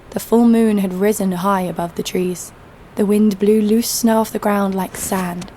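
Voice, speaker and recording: A woman's voice: mid-range voice, mellow, lightly acted. An actress playing a tough young woman fighter, narrating her own story in an audio drama with dry defiance. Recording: clear background noise and ambience.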